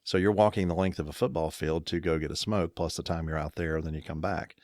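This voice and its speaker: Deep-voiced middle-aged man, rambling chat. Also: fairly even intonation, fast, darkish tone, no echo, studio quality. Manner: rambling, self-correcting storyteller with chuckles. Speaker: podcast co-host. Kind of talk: casual chat.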